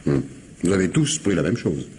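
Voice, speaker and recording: An actor playing a polite but insistent police commissioner in a radio mystery, questioning deliberately with hesitations and repeated words. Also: male voice, low-pitched, big pitch swings, darkish tone, slight background noise. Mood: probing. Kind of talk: French radio mystery drama acting.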